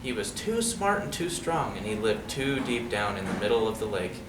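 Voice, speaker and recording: Man, storybook read-aloud, highly varied intonation, conversational with kids, distant echoey recording. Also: lively intonation, normal speed. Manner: conversational, checks in with young listeners using questions. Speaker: storyteller reading a picture book aloud to children. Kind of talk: expressive storybook read-aloud with casual asides. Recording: roomy, distant mic with clear background noise.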